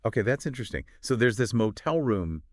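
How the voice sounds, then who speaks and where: A man's voice: mid-range voice, sing-song, a little fast, dry recording, clean studio sound. A man co-hosting a podcast, chatting casually and informally about books in a conversational, agreeing way. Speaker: podcast co-host. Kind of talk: casual book discussion chat.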